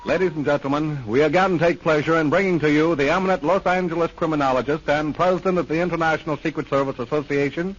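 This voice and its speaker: Old timey radio announcer guy, formal intro, highish voice, dull hissy 30s recording. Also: quick pace, hardly any pauses. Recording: muffled 1930s radio recording with hiss.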